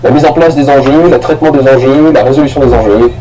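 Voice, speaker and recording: A man's voice: mid-range voice, fast talker, warm tone, slight background noise, low-quality recording. A man giving a casual, rambling film review, full of restarts and slang.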